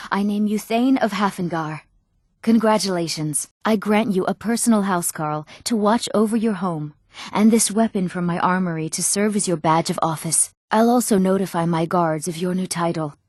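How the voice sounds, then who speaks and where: A woman's voice: mid-range voice, a little mellow, no echo, lightly acted. Actress as eager young fantasy townswoman, close and dry. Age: young adult. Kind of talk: scripted fantasy video game dialogue.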